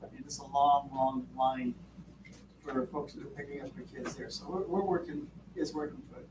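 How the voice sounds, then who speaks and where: A man's voice: medium pitch, moderate pace, recorded from a distance. A man from Vermont on a school board, giving rambling, folksy remarks with many fillers. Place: school board meeting room. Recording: echoey room, mic from afar, background noise.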